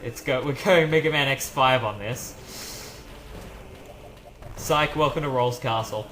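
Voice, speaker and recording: A man chatting casually in a let's play, telling stories in an animated, rambling way. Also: mid-range voice, slow pace, a little hiss or hum, rough recording. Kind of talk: casual gaming commentary chat. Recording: game music behind the voice. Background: video game music.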